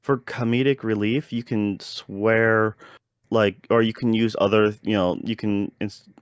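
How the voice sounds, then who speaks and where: A man with a fairly low voice chats as a podcast co-host giving a review. His delivery is hesitant and rambling, with frequent self-interruptions. The sound is dry, with little room around it. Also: steady tone, unhurried, clean tone, dry recording. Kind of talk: casual review chat.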